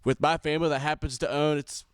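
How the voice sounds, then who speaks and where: A man's voice: on the high side, fairly even intonation, fast, smooth, no room sound, clean studio sound. A man in his twenties from Tennessee, casually introducing himself as a guest. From Nashville, Tennessee. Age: 20s.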